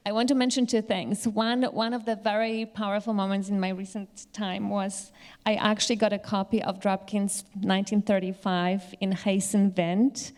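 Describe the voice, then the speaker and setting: A woman panel speaker answering audience questions in a halting, explanatory way. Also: mid-range voice, level tone, a little mellow. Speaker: panel speaker, Yiddish literature teacher. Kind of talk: live question-and-answer talk.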